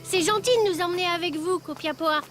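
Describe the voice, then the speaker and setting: A bright-spirited cartoon boy, pitched extremely high. He is inquisitive and eager, a cheerful little traveller, with music playing behind him. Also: steady tone, fast talker. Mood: curious, eager. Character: curious, cheerful young boy travelling the world. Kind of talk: French children's cartoon dubbing.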